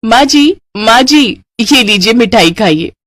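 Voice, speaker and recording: A woman voicing a haughty, boastful young daughter-in-law in a cartoon story, sharp and condescending. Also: a little high, expressive, a little slow, voice pushed up. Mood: excited, sweet.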